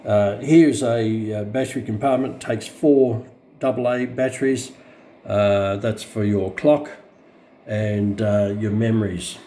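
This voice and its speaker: A man with an Australian accent and a mellow, lowish voice walks through a piece of equipment unscripted. He explains in a plain, matter-of-fact way, often halting to find the next word. Faint noise sits behind him on the camera mic. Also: steady tone. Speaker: radio collector presenting a review. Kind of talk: unscripted hands-on product demonstration.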